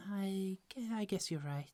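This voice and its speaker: A woman voicing a gentle young goddess who keeps golden apples, speaking in a soft, earnest way that hesitates a little. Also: mid-range voice, slow. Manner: soft, earnest and a bit hesitant, with small stumbles. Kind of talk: ASMR audio roleplay.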